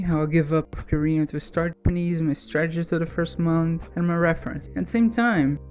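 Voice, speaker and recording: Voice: medium pitch, a little mellow. A speaker from Brazil with a Brazilian accent and Portuguese as a first language, narrating a personal vlog from a script as a language learner.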